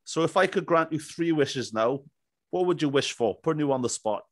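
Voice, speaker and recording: Chummy man, slightly high voice. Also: expressive, fairly quick, no echo, clean studio sound. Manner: chummy, jokey. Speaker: podcast host. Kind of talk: casual interview chat. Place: small indoor home studio. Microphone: studio mic on boom arm, headphones.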